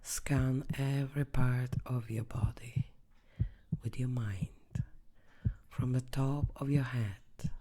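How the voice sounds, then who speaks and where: A meditation guide speaking softly and soothingly in short, measured phrases, often dropping close to a whisper. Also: medium pitch.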